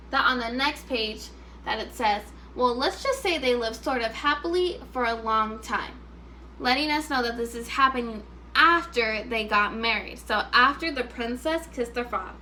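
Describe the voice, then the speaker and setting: A woman teacher reads to young children in an expressive, instructive way. The voice is fairly high and very bright, and there is faint noise in the recording. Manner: expressive, instructive, repeats questions for pupils. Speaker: first grade teacher. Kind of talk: children's read-aloud with teaching questions.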